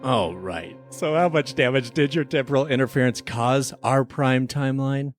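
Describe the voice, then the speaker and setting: A man's voice: mid-range voice, normal speed, faint music behind. A man hosting a podcast as an investigator, giving a semi-scripted comedic review in a conversational, mock-official manner. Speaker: podcast host playing an investigator.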